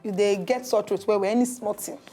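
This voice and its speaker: A Nigerian woman doctor talks through health advice in a television studio, her pitch sitting in a middle range. She explains things step by step, pausing on fillers and starting over mid-sentence. Soft music plays low behind her. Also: fairly even intonation. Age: adult. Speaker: doctor presenting a health segment. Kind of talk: casual health talk show.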